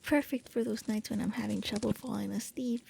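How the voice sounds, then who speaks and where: A woman voicing a gentle, shy vampire prince in an intimate ASMR roleplay, speaking softly and a little hesitantly. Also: medium pitch, steady tone, fairly quick, creaky, a little hiss or hum. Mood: gentle enthusiasm. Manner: gentle, hesitant, intimate, stammering when flustered. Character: gentle, shy, eager young-looking immortal vampire prince. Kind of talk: soft ASMR roleplay monologue.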